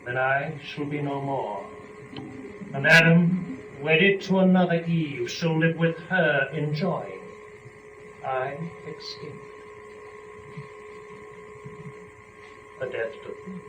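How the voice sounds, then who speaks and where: Young fallen woman, rapturous and scheming; epic verse drama, very slow delivery, distant noisy recording. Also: on the high side. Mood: fearful, resolved. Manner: measured, theatrical, deliberate phrasing. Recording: noisy, dull, echoey room from a distance.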